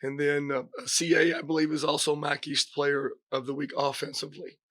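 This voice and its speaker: A middle-aged man, hoarse from a cold, giving press conference remarks as a head football coach, halting with many fillers and restarts. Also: on the high side, level tone, a bit bright, dry recording.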